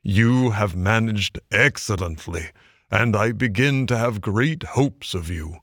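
A man voicing a wise old male badger who speaks in a dry, quiet, measured way. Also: fairly low pitch, lively intonation, breathy edge, dry recording, exaggerated voice. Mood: dryly approving.

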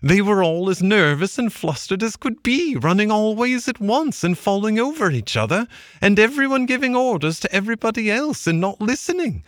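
A man voicing an excitable, boastful male mole who mimics a fussy old washerwoman in a high, sing-song storybook voice. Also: normal speed, no echo, clean studio sound. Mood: gleeful. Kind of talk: audiobook reading.

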